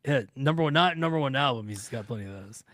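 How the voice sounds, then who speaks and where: A man's voice: mid-range voice, animated, fast talker, a bit bright, no room sound. A man co-hosting a casual talk podcast in a studio, speaking in an informal, joking way. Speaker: co-host of a comedy talk podcast. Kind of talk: casual chat. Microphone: studio microphone.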